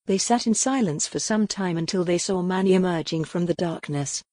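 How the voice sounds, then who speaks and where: A woman's voice: fairly low pitch, moderate pace, a little mellow, no echo, studio quality. A woman reading scripted narration as the narrator of a romance audiobook.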